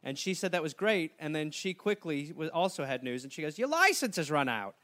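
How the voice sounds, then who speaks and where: A man's voice: a little high, fairly quick. A man hosting a comedy podcast, telling a story in a jokey way and slipping into silly voices. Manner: animated, jokey, slips into silly put-on voices. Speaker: podcast host.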